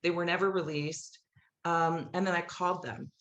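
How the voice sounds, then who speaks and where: A woman's voice: on the low side, fairly even intonation, normal speed, a bit bright, slight background noise. A middle-aged woman chattily telling stories as an interview guest, often acting out remembered dialogue. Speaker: guest, musical playwright. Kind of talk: animated interview storytelling. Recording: roomy, echoey sound.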